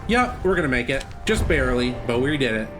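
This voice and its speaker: A man's voice: mid-range voice, very animated, fairly quick. A man giving live speedrun commentary in a casual, self-critical way as he plays. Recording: commentary over loud game music. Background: game music.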